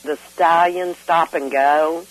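A woman's voice: sits low, fairly even intonation, slow, low-quality recording. An older woman calling in to a radio chat show, laughing often as she tells her stories. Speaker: caller.

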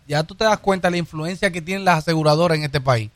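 A man's voice: a little high, fast talker, a bit bright. A man co-hosting a radio show, arguing his point emphatically.

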